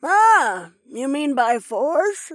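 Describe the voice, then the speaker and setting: A man voicing a sly, mocking old crone, a former torturer, in a high put-on voice with a taunting drawl. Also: very animated, drawn-out words, fairly bright tone, no echo, clean studio sound, exaggerated voice. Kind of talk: audiobook character voice.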